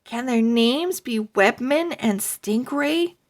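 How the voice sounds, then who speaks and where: A woman's voice: fairly high pitch, animated, slow, pitched above the performer's own voice. A woman voicing an eager, curious young boy who asks questions in a lifted voice.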